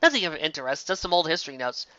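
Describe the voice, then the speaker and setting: Male amateur storyteller, higher-pitched, forceful, scripted fantasy narration, dry muffled recording. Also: sing-song, racing, dry recording. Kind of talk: amateur fan fiction reading, scripted narration.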